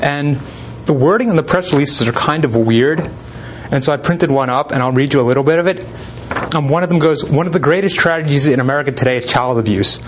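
A man's voice: fairly high pitch, brisk, a little hiss or hum. Casual man reading old letters aloud. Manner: relaxed, hesitant with frequent ums. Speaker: presenter.

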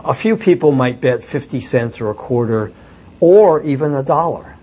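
A man's voice: medium pitch, expressive, moderate pace, dark tone, husky. Hesitant older man telling stories. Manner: reflective, hesitant storytelling with many fillers. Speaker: author and former reporter. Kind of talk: book talk with audience questions.

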